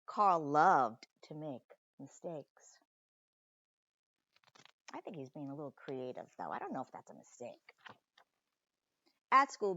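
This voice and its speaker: A woman reading a children's story aloud expressively, with deliberate dramatic pauses and chatty asides to young listeners, like a teacher. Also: medium pitch, a bit bright, dry recording, lo-fi sound.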